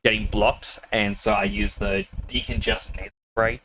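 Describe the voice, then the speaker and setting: A man's voice: on the low side, sing-song, moderate pace, gravelly, sharp tone, slight background noise, rough recording. A man streaming a video game, chatting casually with viewers, laughing often and a little sniffly with a cold. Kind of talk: casual live-stream chat.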